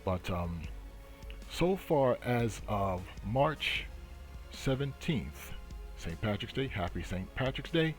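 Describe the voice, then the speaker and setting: Guy doing off the cuff market commentary, really slow n halting, faint music behind him. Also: mid-range voice, sing-song. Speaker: host of a trading channel.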